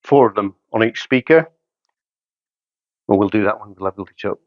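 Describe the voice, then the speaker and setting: A man's voice: fairly low pitch, fairly quick, no room sound. Middle-aged man, dry and slightly dull sound. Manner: matter-of-fact, step-by-step narration in short phrases. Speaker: hi-fi dealer presenter. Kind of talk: hands-on product setup demonstration, talking while working. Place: carpeted indoor showroom room.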